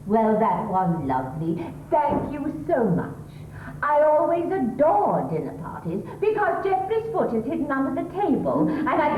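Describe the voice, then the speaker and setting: Woman playing a high, fluttery posh English wife. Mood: gushing. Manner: gushing, exclamatory, posh. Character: chattering middle-class English wife, anxious, fluttery, needling her husband. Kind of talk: theatrical stage comedy acting. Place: theatre stage. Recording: echoey stage recording, tinny, hissy.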